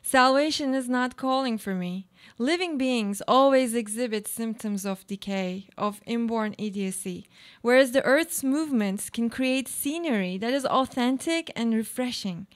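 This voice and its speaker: A woman acting a guarded, high-voiced monologuist. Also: a little slow, natural voice. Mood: quietly content. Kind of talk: live radio play monologue.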